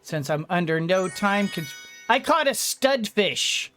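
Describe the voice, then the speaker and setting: A woman gently thinking aloud as she plays a farming game for her channel, her throat a little gunky today. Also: medium pitch, animated, slow, soft background music. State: slightly congested throat. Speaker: gaming YouTuber. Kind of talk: let's-play commentary.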